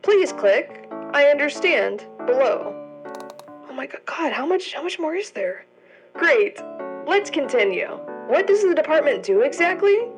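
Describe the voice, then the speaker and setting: A woman's voice: high voice, sing-song, measured pace, over music. A woman playing a polished orientation video narrator, over-enunciating an official script in an audio drama. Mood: exasperated. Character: cheery female corporate orientation narrator, privately exasperated.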